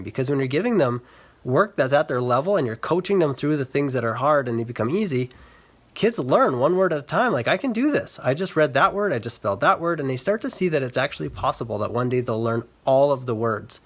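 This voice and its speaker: A man speaking as an educator, giving an earnest, measured explanatory talk for social media. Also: medium pitch, lively intonation. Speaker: reading specialist and educator.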